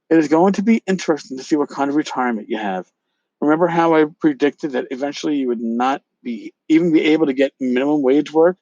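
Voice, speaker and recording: A man's voice: medium pitch, darkish tone, no echo, highs cut off, natural voice. A man playing a scornful, relentless accuser in a dramatic reading, sarcastic and long-winded. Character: scornful, relentless accuser lecturing a lying grifter. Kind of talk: dramatic reading of an email exchange.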